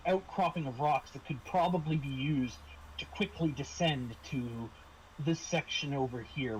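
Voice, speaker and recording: A man narrating a tabletop roleplaying game as game master, in a halting, improvised way. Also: fairly high pitch, darkish tone, lo-fi sound, slight background noise.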